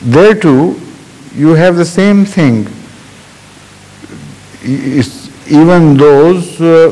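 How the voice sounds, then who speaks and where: A middle-aged man, seated at a stand mic in a large hall, answering a question with slow, thoughtful explanation and frequent pauses. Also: fairly high pitch, dark tone. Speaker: religious leader answering questions. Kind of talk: spontaneous question-and-answer talk. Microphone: stand mic in front of chair. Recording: long hall reverb, old 1980s video.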